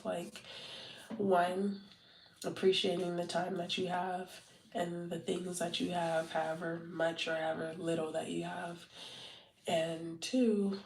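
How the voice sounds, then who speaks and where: A woman's voice: on the low side, gravelly. Congested woman rambling on a late stream. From Southern California. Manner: rambling, many fillers and restarts. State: congested and wheezy. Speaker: content marketing livestreamer. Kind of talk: casual late-night livestream chat. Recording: roomy, distant from the mic.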